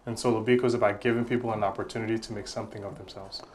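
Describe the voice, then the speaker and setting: A man's voice: fairly low pitch, fairly even intonation, very fast, a little mellow. A young adult man from Congo, answering interview questions in an earnest, reflective way and often repeating words while he searches for the next thought. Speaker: guest, nonprofit founder.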